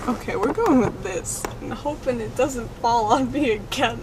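A teenage girl talks to her camera in a rambling, self-conscious way, full of fillers and little giggles. Her voice sits a bit high. Road and engine noise hums clearly behind her. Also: normal speed. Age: teens. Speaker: vlogger. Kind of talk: casual chat. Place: car while driving, then a bedroom.

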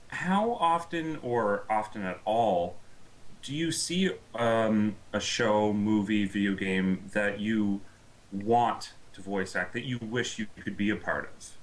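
A man co-hosting a podcast, putting casual questions to a guest in a tentative, halting way. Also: lowish voice, fairly bright tone, rough recording. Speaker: podcast co-host.

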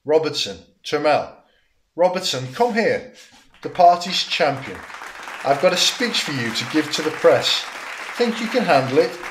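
An actor playing a smug, scheming male political strategist with a smooth, theatrical way of speaking. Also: on the high side, big pitch swings, a little slow, a bit bright, a faint crowd, a little hiss or hum. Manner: smooth, theatrical, speech-making.

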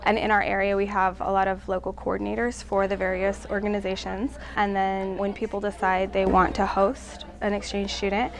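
A woman's voice: medium pitch, flat delivery, fairly quick, few pauses. An American woman explaining a program informally in an interview. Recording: busy room chatter behind.

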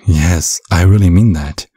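A man acts out a bumbling, loving vampire father in an audio roleplay. His voice is deep and soft-edged with some huskiness. He speaks in a gentle, halting, reassuring way. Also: breathy edge, dry recording, studio quality, heavily acted. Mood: earnest, reassuring. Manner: gentle, stammering, chuckling reassurance. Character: caring, bumbling vampire father, looks twenty-five, over a century old. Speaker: ASMR roleplay performer.

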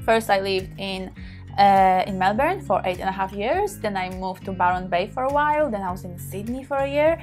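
Woman in her 30s, Polish accent, open chatty to-camera talk, faint music under. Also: mid-range voice. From Poland. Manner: warm, open, laughs often, gestures while talking. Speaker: sex therapist, channel host. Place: small bedroom, indoors.

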